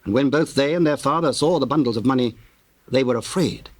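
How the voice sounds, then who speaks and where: A male narrator reads scripture formally and with measured phrasing. His voice sits at a middling pitch, recorded close and dry. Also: no room sound.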